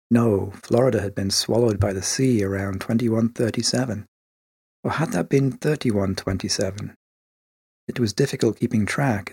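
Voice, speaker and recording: A man with a slightly husky voice narrating a scripted short story as in an audiobook. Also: fairly low pitch, a little mellow, no echo.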